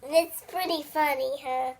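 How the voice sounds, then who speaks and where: A child reading a picture book aloud in a halting, word-by-word way, acting out the dialogue. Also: high voice, steady tone. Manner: halting, word-by-word delivery with repeated phrases. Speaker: read-aloud reader.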